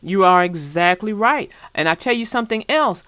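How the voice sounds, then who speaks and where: A woman's voice: medium pitch, animated, moderate pace, fairly bright tone, clean tone, no echo, clean studio sound. A woman narrating a children's storybook and talking to young listeners in an emphatic, instructive way. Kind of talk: read-aloud storytelling and explaining to children.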